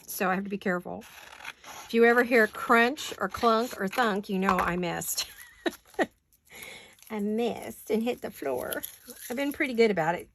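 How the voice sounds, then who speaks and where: A woman's voice: medium pitch, gravelly, fairly bright tone, slight background noise. A middle-aged woman rambling chattily while she works on paper crafts in her home studio, joking at her own expense. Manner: rambling, self-deprecating, talks while working. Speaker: craft vlogger.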